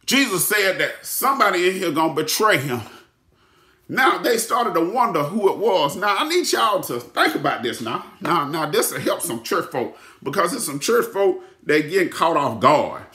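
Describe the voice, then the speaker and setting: Emphatic preacher. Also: male voice, high-pitched, big pitch swings, normal speed. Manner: emphatic, repeats phrases, sudden shouts and hushed asides. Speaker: pastor. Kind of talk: sermon. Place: room at home with ceiling lights. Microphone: phone camera at arm's length. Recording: echoey room.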